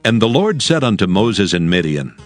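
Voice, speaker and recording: A man with a very low voice narrates scripture over soft music. His delivery is measured and formal, suited to a scripted audio drama. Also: very animated.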